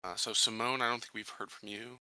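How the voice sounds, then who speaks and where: A man playing a tabletop roleplaying game, negotiating conversationally and haltingly as he puts his case. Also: medium pitch, steady tone, sharp tone, no room sound, poor audio.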